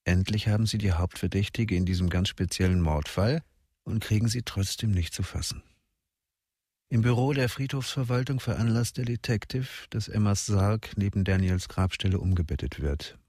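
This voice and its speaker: A man narrating a radio crime drama in a deliberate, intense way. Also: lowish voice, a little mellow, no echo, clean studio sound.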